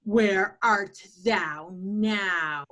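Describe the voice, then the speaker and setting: A woman's voice: medium pitch, extremely slow, a bit bright. A woman playing a hot-headed young male lover who challenges a rival, reading Shakespeare emphatically. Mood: indignant. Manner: emphatic, declamatory. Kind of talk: Shakespeare table read in verse.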